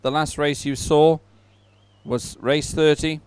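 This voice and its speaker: A man giving live sports commentary at a regatta in an informal, halting way with hesitant pauses. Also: a little high, fairly even intonation, a little mellow, smooth, no echo.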